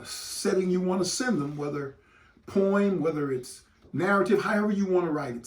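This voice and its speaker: Male educator, lively intonation, deliberate and emphatic with dramatic pauses, recorded somewhat distant from the mic. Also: a little high. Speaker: educator hosting a read-aloud for students and parents. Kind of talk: read-aloud lesson addressed to students. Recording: roomy echo, distant microphone.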